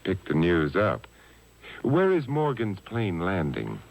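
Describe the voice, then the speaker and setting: A man playing an unflappable gentleman detective in a 1950s radio drama, urbane and dryly witty. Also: sits low, wildly swooping pitch, slow, heavily acted, low-quality recording. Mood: suave, amused. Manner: urbane, dry, drawling wit.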